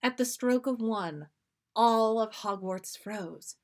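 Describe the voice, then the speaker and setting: A woman podcast host reading fan fiction aloud on a livestream in an amused, expressive storytelling way. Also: a little high, slow pace.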